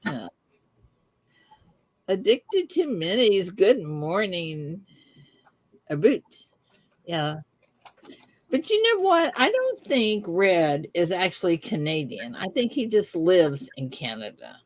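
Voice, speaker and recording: A woman's voice: lowish voice, sing-song, slow pace, pauses often, no room sound. Giggly Texan woman hosting a live stream. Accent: Texan Southern. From Texas. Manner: chatty, giggly, greets viewers by name. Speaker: host. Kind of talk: live-stream casual chat.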